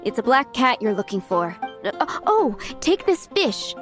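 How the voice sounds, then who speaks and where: Doting townswoman in a game: high voice, lively affectionate delivery, music behind. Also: a little slow. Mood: fond, helpful. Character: fond, worried young woman cat owner. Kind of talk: video game voice acting.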